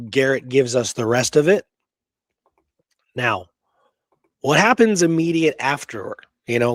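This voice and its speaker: A man hosts a history podcast in a conversational, emphatic style, working loosely from his notes. His pitch sits in the middle of the male range, and the sound is dry with hardly any room in it. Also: dry recording. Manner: emphatic, conversational, often checking with "right?" and "okay". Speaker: podcast host. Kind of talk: casual history talk from notes.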